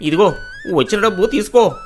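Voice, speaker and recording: A man's voice: high voice, animated, fairly quick, soft background music. A man playing a village grain merchant in a moral story, matter-of-fact in manner. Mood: apologetic.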